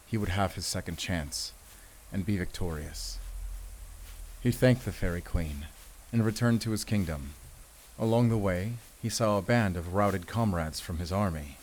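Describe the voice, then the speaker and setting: An actor playing a battle-weary, protective werewolf hunter in an audio roleplay, with a grave, deliberate, commanding way of speaking to the listener. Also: male voice, low voice, darkish tone, a little hiss or hum, close to an everyday voice, poor audio. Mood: solemn storytelling.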